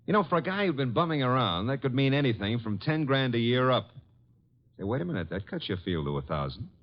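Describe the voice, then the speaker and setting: An actor as a breezy, animated wisecracking legman. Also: medium pitch, quick pace, natural voice. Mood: wry, grudging. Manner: slangy, breezy, wry. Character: wisecracking, skeptical male detective's assistant, breezy flirt. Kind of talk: old-time radio drama acting. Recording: dull, lo-fi old radio recording.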